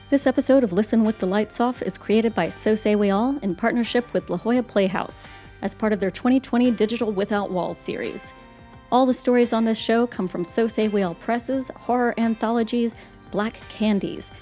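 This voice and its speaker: Mellow American woman hosting over music. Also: mid-range voice. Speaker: host and producer of a horror radio play series. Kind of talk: scripted hosting and credits, theatrical horror-hostess.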